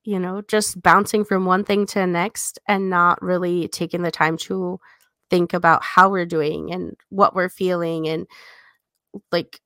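Female podcast co-host, casual and thoughtful book discussion, noticeable vocal fry, close dry sound. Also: on the low side, level tone, unhurried, fairly bright tone, no room sound.

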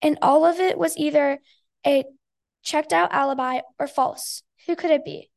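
A girl of about eleven reads her own written story aloud. Her voice is very high, with a noticeable crackly fry running through it. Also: steady tone, moderate pace, darkish tone. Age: child, about eleven or twelve. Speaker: sixth grade student guest.

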